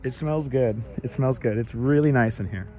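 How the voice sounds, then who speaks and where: A man with a raspy, slightly squeaky, hoarse voice, chatting casually and repeating himself as he hosts a walking livestream. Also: moderate pace, dark tone, poor audio. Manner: rambling, repeats phrases, greets viewers by turns. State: hoarse from overuse. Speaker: livestream host. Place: outdoors in a city park, walking. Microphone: wireless clip-on lavalier mic. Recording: clear outdoor ambient and traffic noise.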